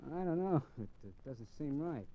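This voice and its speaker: A man's voice: on the high side, animated, moderate pace, a little hiss or hum, close to an everyday voice. An actor playing a cocky, restless drifter crook in a 1950s radio crime drama, with slangy, wisecracking tough-guy talk. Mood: teasing, restless. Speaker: radio drama actor, lead and first-person narrator. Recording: muffled vintage 1950s radio recording, faint music.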